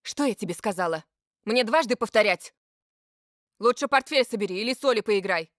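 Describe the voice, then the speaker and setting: A woman's voice: mid-range voice, expressive, a little fast, a bit bright, no echo, studio quality, natural voice. A woman playing a weary, irritable mother in a visual novel, with a scolding, sarcastic manner. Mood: stern. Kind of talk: voice acting in a Russian visual novel. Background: game music.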